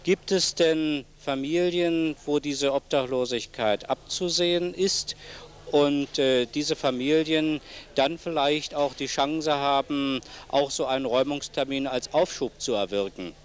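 A man's voice: fairly high pitch, unhurried. A middle-aged man hosting a local TV interview, speaking politely and formally into a handheld microphone. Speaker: host interviewing a guest. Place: seated among plants in a senior citizens' centre. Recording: noisy old TV video sound.

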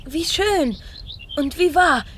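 Bold, curious little boy, fairy tale radio play, high-pitched and animated. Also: slow pace, slight background noise, exaggerated voice. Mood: friendly. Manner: eager, boyish.

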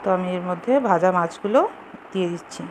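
A woman's voice: fairly low pitch, fairly even intonation, fast, darkish tone. A woman giving casual, step-by-step cooking instructions in simple words, as host of a home recipe video. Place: home kitchen. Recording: clearly noisy home kitchen recording.

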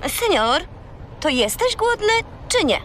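A woman's voice: very high voice, big pitch swings, slow pace, slight background noise, heavily acted. An actress playing a bossy, exasperated young girl who scolds and corrects in a children's radio play. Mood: indignant.

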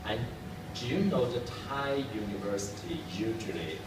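A man's voice: lowish voice, lively intonation, moderate pace. A young man interviewing a guest from a sheet of questions, polite and tentative, sitting in a school corridor. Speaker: student interviewer. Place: school corridor with plastic chairs. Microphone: far camera. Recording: echoey hallway from far off, noisy.

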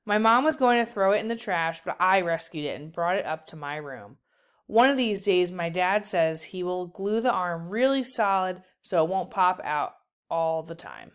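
A woman reads a children's story aloud as a storyteller. Her voice sits slightly low, with a smooth, clean tone. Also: expressive, moderate pace. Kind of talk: children's book narration.

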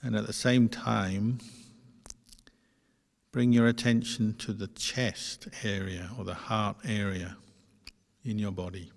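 A man leading a guided meditation as a monk, speaking gently in short phrases with long, unhurried pauses. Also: fairly low pitch, steady tone, vocal fry. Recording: long hall-like reverb.

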